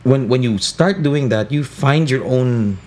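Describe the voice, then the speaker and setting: A middle-aged Filipino man with a deep, worn voice, telling stories animatedly in casual interview chat, mixing Tagalog into English. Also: a little slow, darkish tone. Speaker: radio voice talent and guest.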